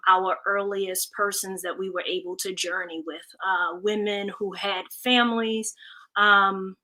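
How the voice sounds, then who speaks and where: A woman's voice: mid-range voice, sharp tone, rough recording. A woman speaking earnestly and reflectively as a community advocate in a panel discussion, stressing key words thoughtfully.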